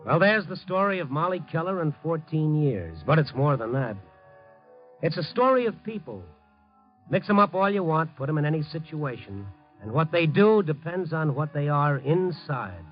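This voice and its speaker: An actor playing an earnest, hard-boiled newspaper reporter, urgent and moralizing, in a 1950s radio drama. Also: male voice, a little high, very animated, moderate pace, faint music behind. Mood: reflective. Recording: dull vintage radio broadcast recording.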